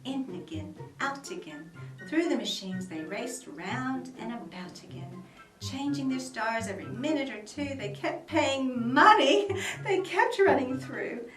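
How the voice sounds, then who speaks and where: A woman reading a children's book aloud at home, dramatic and expressive with soft whispers. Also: mid-range voice. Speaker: storyteller. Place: room at home. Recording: roomy echo, mic at a distance, faint music.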